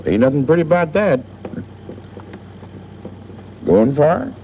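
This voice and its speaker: A man's voice: a little high, very animated, slow. An actor playing a folksy, talkative small-town newspaperman in a 1940s radio drama, rambling in clipped phrases. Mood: friendly, chatty. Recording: dull, noisy old radio recording.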